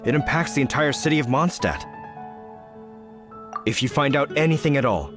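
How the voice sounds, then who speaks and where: An actor playing an earnest, intellectual knight officer in a video game, with precise, formal, measured delivery. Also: male voice, mid-range voice, animated, music in the background, close to an everyday voice. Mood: serious.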